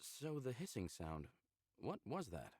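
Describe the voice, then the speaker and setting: A man voicing an awkward, apologetic young male visual novel character who talks haltingly and with curiosity. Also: mid-range voice, wildly swooping pitch, darkish tone, breathy edge, dry recording, clean studio sound, voice pushed down, exaggerated voice. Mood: hesitant, curious. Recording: music behind the voice. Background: background music.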